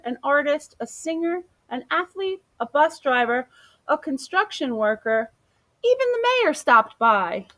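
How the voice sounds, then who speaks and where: A woman reads a children's picture book aloud at a slow, deliberate pace. Her voice is bright and fairly high, and she tells it expressively, drawing out words. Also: rough recording. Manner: deliberate, animated storytelling with drawn-out words.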